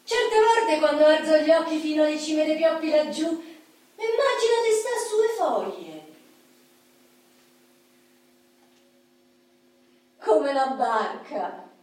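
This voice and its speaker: Feisty high-voiced Roman woman, echoey hall. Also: a little mellow, exaggerated voice. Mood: dreamy. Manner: vivid, colloquial storytelling, acting out quoted people. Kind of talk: theatrical monologue in a radio play. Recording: echoey hall, distant mic, clicks.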